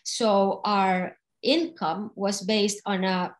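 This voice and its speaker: A woman in her forties, from Venezuela, answering interview questions slowly and thoughtfully on a video call from a room at home. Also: mid-range voice, steady tone. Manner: slow, thoughtful, with fillers. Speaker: guest, crypto fund founder. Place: home room with window, indoors.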